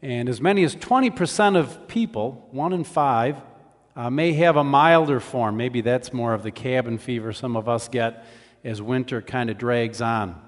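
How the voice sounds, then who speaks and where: A man giving a conversational sermon as a preacher, in a measured, explanatory way. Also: medium pitch. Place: large church hall. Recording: reverberant hall sound.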